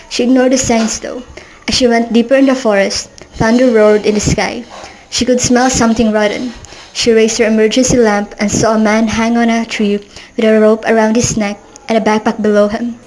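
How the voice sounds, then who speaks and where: A young woman narrating an amateur radio drama in an earnest, steady way. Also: a little high, normal speed, a little hiss or hum, rough recording. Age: young adult. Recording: background music.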